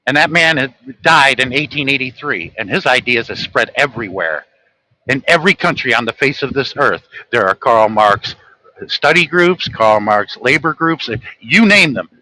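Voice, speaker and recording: Man, earnest lecturing tone, bright sharp voice, livestream monologue, reads from notes. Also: medium pitch, poor audio. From United States. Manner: earnest, lecturing, addresses viewers directly. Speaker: host of a livestream channel.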